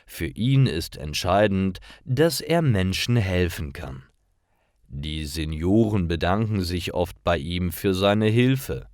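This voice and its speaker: Guy narrating simple stories for learners, lowish voice, careful phrasing, super dry close sound. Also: animated, slow pace, darkish tone, no room sound.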